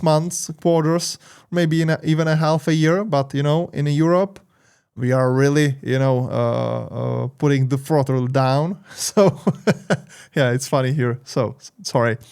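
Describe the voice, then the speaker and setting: A man's voice: a little high, fairly even intonation, unhurried, gravelly, a little mellow, smooth. A young man with a Czech accent, a native Czech speaker, hosting casual tech news commentary with frequent fillers and restarts. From Czech Republic. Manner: hesitant, many fillers and restarts.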